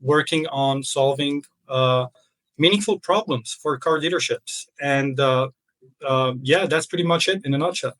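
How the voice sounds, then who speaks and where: A young man from Lebanon joins the podcast over a video call. He talks at an ordinary middle pitch, searching for his words with frequent fillers and restarts. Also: measured pace, a bit bright. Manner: hesitant, many fillers and restarts. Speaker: podcast guest. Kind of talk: casual interview.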